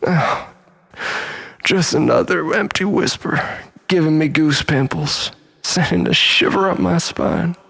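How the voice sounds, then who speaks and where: A man delivers a dramatic first-person story in a slightly high, gravelly voice. His telling is theatrical and melodramatic, moving between loud outbursts and breathy, hushed passages. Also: a little slow. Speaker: storyteller performing a comic monologue.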